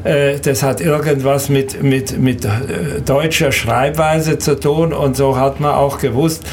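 An elderly man who grew up in Serbia and Hungary, recounting his life in an interview in a halting, hesitant way with repeated words. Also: mid-range voice, steady tone, darkish tone, slight background noise. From Danube Swabian from Serbia and Hungary. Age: 70s or older. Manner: halting, hesitant, repeats words, often ends with a questioning tag.

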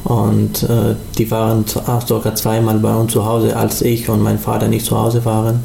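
A young man from Afghanistan, speaking German as an as a guest in a radio interview, halting and full of restarts. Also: fairly low pitch, monotone, vocal fry, warm tone, reverberant, a little hiss or hum. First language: not German. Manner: halting, many restarts and fillers. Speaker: studio guest.

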